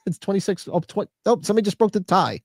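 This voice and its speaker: A man co-hosting a live podcast, chatting loosely, joking and reading chat comments, a little hoarse. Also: high voice, fast, no room sound, studio quality. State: losing his voice. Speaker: podcast co-host. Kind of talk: casual live-stream chat.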